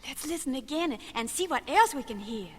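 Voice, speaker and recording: A woman voicing a bright, eager girl puppet with a girlish voice on a children's record. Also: high voice, lively intonation, brisk, long reverb tail. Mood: curious. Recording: noisy vintage 1960s children's record.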